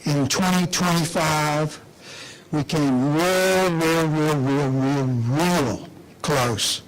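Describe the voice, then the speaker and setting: Man, somewhat higher-pitched, unhurried pace, deliberate emphasis, rhetorical pauses, official press announcement speech. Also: rough recording.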